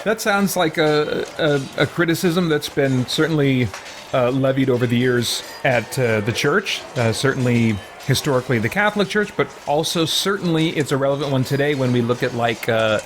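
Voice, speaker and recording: A man giving casual roleplay playthrough commentary, musing and explaining in a halting, thinking-aloud way. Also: mid-range voice, animated, a little hiss or hum. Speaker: gaming video host. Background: game music.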